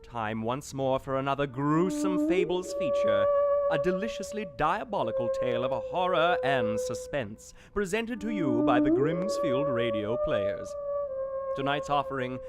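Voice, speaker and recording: An actor playing a suave but easily exasperated radio show host, in a theatrical, old-time radio drama manner. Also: male voice, a little high, huge pitch swings, a little slow. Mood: grandly ominous. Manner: theatrical, polite in front, snippy aside. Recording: music behind the voice.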